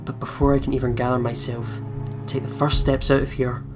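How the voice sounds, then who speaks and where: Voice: mid-range voice, level tone, fairly quick, music in the background. A game video host reading a horror visual novel aloud dramatically, with casual asides.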